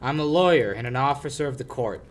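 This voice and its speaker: A man's voice: mid-range voice, expressive, fast talker, faint music behind, natural voice. A young man acting a brash, stubborn lawyer in a 1940s-style radio drama. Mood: grave, measured. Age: young adult. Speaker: high school actor.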